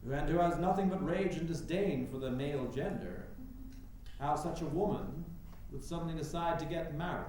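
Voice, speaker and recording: A man acts out a period newspaper reporter on stage in a heavily echoing room. His fairly high voice is polite but persistent, flustered and theatrical, with faint noise around it. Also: normal speed, darkish tone, natural voice. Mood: pressing, skeptical. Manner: hesitant yet pressing, declamatory when quoting.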